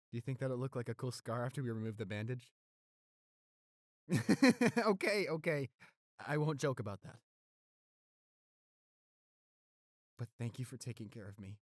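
A man playing a gentle, devoted, weakened boyfriend in an intimate roleplay audio drama, speaking softly, haltingly and reassuringly. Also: medium pitch, lively intonation, no echo, lightly acted. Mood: playful. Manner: tender, halting, reassuring, close and soft.

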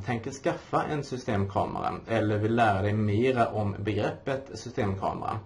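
A man in his forties giving an informal, explanatory presentation as a course instructor, halting often and posing his own questions, recorded in a small room by a camera a short distance away. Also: fairly low pitch. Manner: halting, explanatory, with frequent pauses and self-posed questions. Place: small indoor office room.

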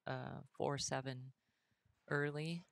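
A woman calling live bowling play-by-play on a headset, steady and dryly teasing. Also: very deep voice, slow, noisy background. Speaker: commentator. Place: commentary booth at a bowling center.

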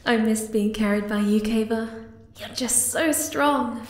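A woman voicing a sweet, affectionate young moth-girl in an intimate ASMR romance roleplay, soft, gentle and reassuring. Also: a little high, unhurried, roomy sound, natural voice. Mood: adoring.

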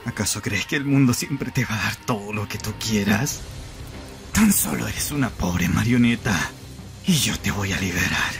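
A man's voice: on the low side, animated, normal speed, creaky, fairly bright tone, soft background music, voice pushed down. A man voicing a bitter young male anime villain who taunts coldly and mockingly. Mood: taunting. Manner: taunting, cold.

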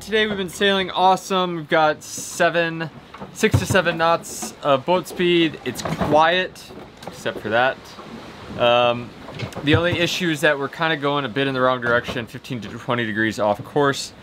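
A man in his thirties, sailing alone and talking casually to a handheld camera in a small boat cabin, relaxed and self-mocking. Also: a little high, moderate pace, background noise. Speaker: vlogger sailing solo. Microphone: handheld camera at arm's length.

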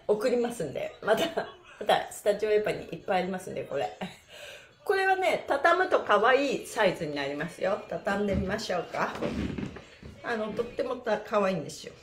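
A middle-aged woman hosting a live exercise stream in animated, cutesy and polite instructor patter. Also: medium pitch. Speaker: pantomime performer and stretch class host. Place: small indoor room with bare walls. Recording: roomy echo from camera mic.

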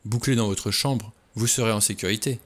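A man's voice: lowish voice, fairly quick, natural voice. A man reading an audiobook, voicing a cool, self-assured man with a dry, mocking way of talking.

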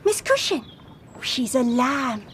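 A friendly housemaid in a period radio drama. Her voice is high and lively, with a coaxing, colloquial way of talking. There is clear noise around her. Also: slow, heavily acted. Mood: coaxing, flirtatious. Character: flirtatious, friendly housemaid offering lemonade, later alarmed.

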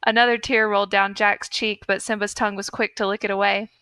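A woman's voice: medium pitch, little pitch movement, quick pace, bright, forceful tone, clear, resonant tone, no room sound. A woman podcast host reading fan fiction aloud in a mock-earnest storytelling manner.

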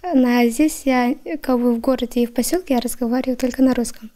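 A teenage schoolgirl answering interview questions indoors, hesitant and halting in her phrasing. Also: a little high, steady tone, warm tone. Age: teens. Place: bedroom indoors.

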